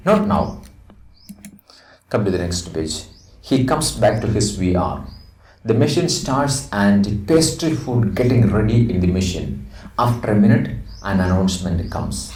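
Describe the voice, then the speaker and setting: A middle-aged man with an Indian accent teaches English, reading a script aloud and explaining it. His pace is slow and deliberate, with widely swinging intonation. He is recorded in a roomy space, away from the microphone. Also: on the low side, darkish tone. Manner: deliberate, announces each speaker before reading lines. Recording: roomy echo, distant microphone.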